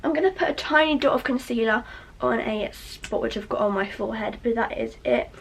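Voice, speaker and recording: A young woman with a slightly hoarse, fading voice, talking through a casual makeup tutorial in a chatty, rambling way. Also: fairly high pitch, fairly quick. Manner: chatty, rambling with many fillers. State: losing her voice, slightly hoarse. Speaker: beauty vlogger.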